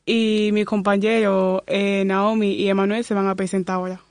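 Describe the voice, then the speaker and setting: Smooth-voiced young woman moderator. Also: mid-range voice, moderate pace, dry recording. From Spain. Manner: reading questions in a steady way. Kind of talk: podcast moderation.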